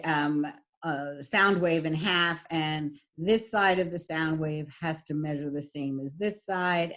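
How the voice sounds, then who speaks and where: A middle-aged woman with a husky voice, giving a recorded lecture as a voice and speech teacher, with frequent fillers. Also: low voice, a bit bright. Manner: demonstrates voice types, lots of fillers.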